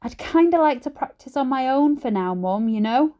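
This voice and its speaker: Woman playing an awkward, higher-voiced schoolboy. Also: animated, moderate pace, mellow. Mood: nervous. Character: awkward, easily embarrassed young schoolboy.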